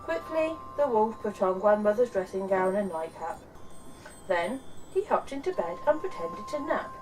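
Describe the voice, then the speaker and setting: Animated woman reading a bedtime story. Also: medium pitch, distant mic, over music, low-quality recording. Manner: expressive, deliberate, dramatic storytelling. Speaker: children's storyteller and host. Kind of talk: scripted bedtime story narration.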